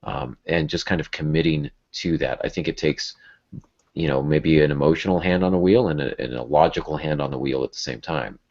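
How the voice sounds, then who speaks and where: Very deep-voiced man hosting. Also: brisk, darkish tone. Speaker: podcast host. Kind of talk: casual, reflective podcast discussion. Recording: dull, lo-fi podcast audio.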